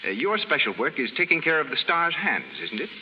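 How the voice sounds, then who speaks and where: A man's voice: fairly high pitch, very animated, brisk. A man, announcing and interviewing in a formal, scripted 1930s advertising style. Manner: formal, polished salesmanship. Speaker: radio announcer. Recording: muffled 1930s radio broadcast, faint hiss.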